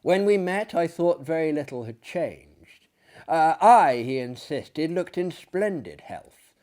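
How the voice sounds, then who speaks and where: A man giving a dramatic, solemn narration of gothic fiction, with deliberate pauses and phrases that drop to a hush. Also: a little high, expressive. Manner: theatrical, solemn, with hushed drops and deliberate pauses. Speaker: audiobook reader and performer.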